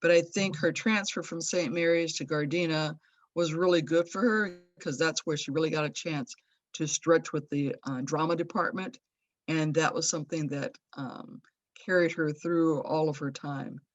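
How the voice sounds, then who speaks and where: A woman's voice: deep voice, fairly even intonation, moderate pace, no echo, dull audio. A woman in her sixties from Los Angeles, sharing fond, rambling memories of an old friend over a video call. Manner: warm, rambling, with fond laughs. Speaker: memorial speaker. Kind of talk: personal remembrance. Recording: dry video call audio.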